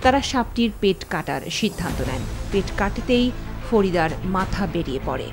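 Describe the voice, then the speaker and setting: A woman from Bangladesh reads a news report in a steady, measured way, her pitch rising and falling freely. A clear music bed runs under the voice-over. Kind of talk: scripted news narration.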